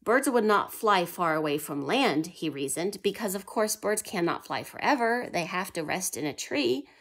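A woman with a fairly low voice reads history aloud to young children. She asks them questions and answers them herself. She drops to a whisper for the tense parts. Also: expressive, moderate pace, fairly bright tone. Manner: pitches up for dramatic quoted lines. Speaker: homeschool history teacher.

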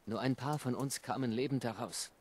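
An actor playing an earnest, weary militia soldier in a video game, speaking in a measured way. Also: male voice, mid-range voice, darkish tone, natural voice. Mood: somber. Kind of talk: video game dialogue acting.

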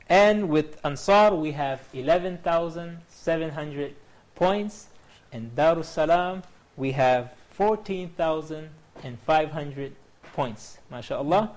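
A man in his thirties hosting a TV quiz show from a studio, upbeat and formal as he greets teams and reads out clues. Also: a little high. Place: TV studio set.

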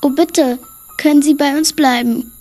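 Woman as a high-voiced outspoken young girl. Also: fairly even intonation, unhurried, darkish tone, faint music behind. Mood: eager, curious. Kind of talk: amateur audio drama acting.

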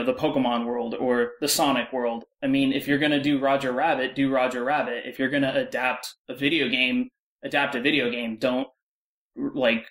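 Male panel guest, average pitch, casual and opinionated, blunt delivery. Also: fairly bright tone. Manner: blunt, insistent.

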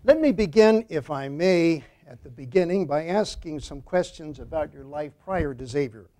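A man's voice: fairly high pitch, lively intonation, moderate pace, mellow. Courteous man conducting an interview. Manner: formal, courteous, frequent affirming murmurs. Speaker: university archivist and priest interviewer. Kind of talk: oral history interview.

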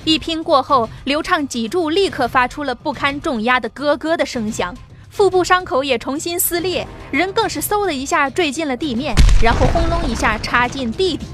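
High-voiced woman narrating a novel. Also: animated, moderate pace, over loud music. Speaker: audiobook narrator.

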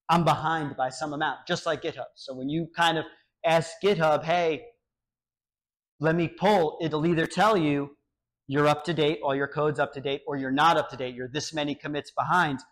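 A man in his thirties gives an animated meetup talk in a small cafe, his voice sitting a bit high. He often acts out little example exchanges as he explains. The sound comes from a camera set up some distance away. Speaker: meetup speaker. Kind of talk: technical talk with Q&A.

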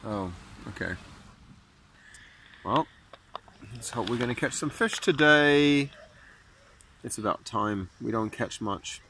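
A man's voice: mid-range voice, expressive, slow, pauses often, sharp tone, rough recording. A man in his thirties, a father filming his young son on a boat and coaxing him along in a playful, encouraging way. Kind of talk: casual family vlog. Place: outdoors on a small motorboat on open water. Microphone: phone camera held close. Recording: windy outdoor phone recording. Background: wind noise and boat engine.

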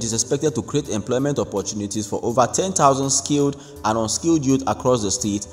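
A man narrating a scripted news report in a steady, even read-aloud delivery. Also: medium pitch. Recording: long reverb with faint background music.

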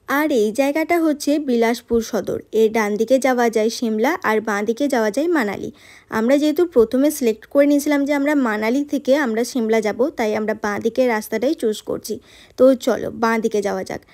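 A woman's voice: on the high side, darkish tone. A woman narrating her road trip vlog in a chatty voice-over, talking straight to her viewers. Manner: chatty, addresses viewers directly with tag questions. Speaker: travel vlogger.

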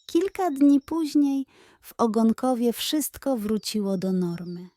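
Female bedtime storyteller for children: high voice, very unhurried scripted narration. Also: animated, dark tone, no echo.

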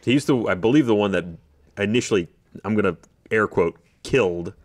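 A man's voice: lowish voice, brisk. A man in his thirties with an American accent, chatting casually with wry humour as a podcast co-host. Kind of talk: casual chat. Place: indoor podcast studio. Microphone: desk studio microphone.

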